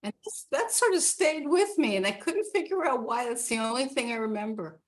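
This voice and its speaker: A woman's voice: fairly high pitch, lively intonation, a little fast. A woman in her sixties from Canada, a guest telling her life story in a rambling, casual chat with many restarts.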